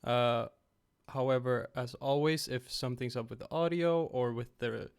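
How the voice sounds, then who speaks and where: A young man hosting a casual game-creation livestream from home on a headset, slightly raspy after being ill. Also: medium pitch, moderate pace. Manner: relaxed, rambling, talks to chat.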